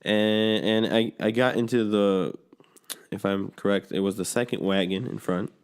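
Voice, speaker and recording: A man's voice: fairly low pitch, steady tone, moderate pace, clear, resonant tone. A man who speaks Polish at home, co-hosting a podcast in casual, rambling chat full of restarts and fillers. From grew up between a Polish home and an English-speaking school. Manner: rambling, many restarts and fillers, swears freely. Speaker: podcast co-host.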